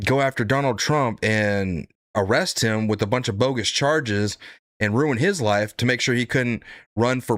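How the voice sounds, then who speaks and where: A man's voice: mid-range voice, fairly bright tone, dry recording, clean studio sound. A man hosting casual political reaction commentary, blunt and profane, rambling with frequent "right?" tags.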